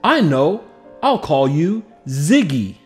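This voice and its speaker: A man voicing an excitable, tender ten-year-old city boy for a storybook, eager and exclamatory, over music. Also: a little high, takes it slowly, voice pushed up. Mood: tender, delighted. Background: background music.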